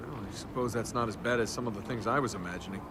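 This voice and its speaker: A man's voice: fairly low pitch, very fast, natural voice. An actor voicing a cocky, wry young male adventurer in a video game, flippant and conversational. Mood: wry, casual. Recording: game audio with noisy ambience behind.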